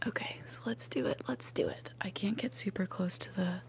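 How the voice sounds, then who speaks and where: A woman in her mid-twenties doing ASMR for live viewers in a soft, breathy whisper, chatting gently and repeating words. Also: mid-range voice, normal speed. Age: 20s, mid-twenties. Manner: gentle repetition of words and sounds.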